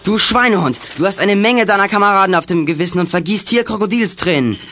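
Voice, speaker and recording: A man's voice: sits high, quick pace, sharp tone, soft background music. A man playing a righteous, accusing teenage boy detective in a children's radio play, stern and indignant.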